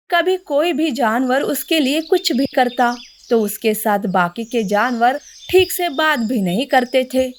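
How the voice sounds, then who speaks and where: A woman's voice: a little high, slight background noise. A woman narrating a scripted children's story.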